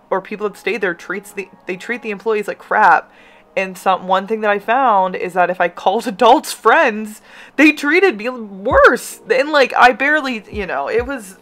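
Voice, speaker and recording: A woman's voice: lowish voice, a bit bright. Rambling American woman with a slight lisp. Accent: General American. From United States. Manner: rambling, self-interrupting, laughs often. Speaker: game builder and youtuber. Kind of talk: casual voiceover of a game build.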